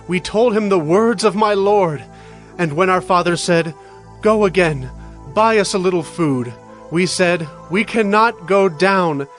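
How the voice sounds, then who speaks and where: A man's voice: high voice, a bit bright. A man voicing Judah, a humble brother earnestly pleading before a ruler, in a dramatized scripture reading.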